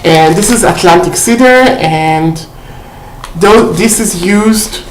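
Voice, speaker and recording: A woman's voice: lowish voice, slow, a little hiss or hum. A woman casually showing off a shopping haul on her vlog, chatty and full of fillers, often checking in with "okay?". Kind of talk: casual haul video chat. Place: indoor room at home. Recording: roomy echo.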